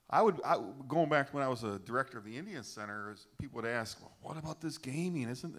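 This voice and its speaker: Middle-aged male panelist, lowish voice, earnest, rambling, repeats himself for emphasis. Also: a bit bright. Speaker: panelist at a film screening discussion. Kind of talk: unscripted panel answer.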